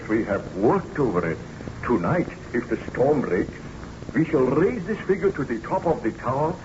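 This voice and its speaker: An actor playing an obsessed, weary scientist in a theatrical, deliberate old-time radio drama style, on a muffled, noisy recording. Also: male voice, mid-range voice, lightly acted. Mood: feverish, obsessed. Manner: theatrical, deliberate, brooding narration.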